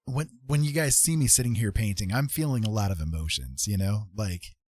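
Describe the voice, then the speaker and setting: A man's voice: on the low side, animated, fairly quick, a little mellow, no room sound, clean studio sound. A middle-aged man hosting a casual livestream, talking in an earnest, musing and hesitant way. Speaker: livestream host, artist and writer. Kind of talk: casual reflective livestream chat. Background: music.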